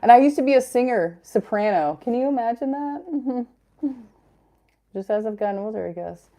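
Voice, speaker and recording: A young adult woman with a deep voice hosting a casual fitness livestream, chatty and rambling as she answers chat comments. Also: expressive, normal speed, dark tone.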